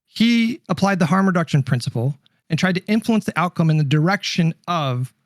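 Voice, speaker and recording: Insistent man debating, lively pitch swings. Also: fairly quick, dry recording, clean studio sound. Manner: insistent, repeatedly questioning, indignant. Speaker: debater on a livestream. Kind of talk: heated political debate.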